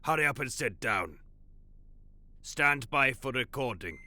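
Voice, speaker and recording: An actor playing a curt, impatient studio boss who gives clipped orders in an audio drama. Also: male voice, medium pitch, animated, a little slow, pushed and bright. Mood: stern, impatient. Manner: clipped, commanding.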